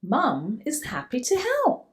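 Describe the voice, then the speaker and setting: A woman reading a children's picture book aloud with theatrical emphasis and drawn-out words. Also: high-pitched, huge pitch swings. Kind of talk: expressive picture-book read-aloud, voicing a young boy's first-person story.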